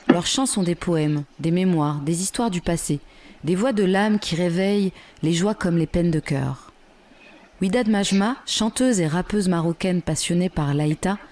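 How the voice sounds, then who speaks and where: Measured documentary narrator. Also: medium pitch, a little mellow, slight background noise. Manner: measured, formal. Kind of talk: scripted narration.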